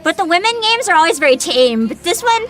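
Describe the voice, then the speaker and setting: A woman chatting casually as a livestream host, excitable and giggly, with dramatic emphasis. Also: very high-pitched, fast.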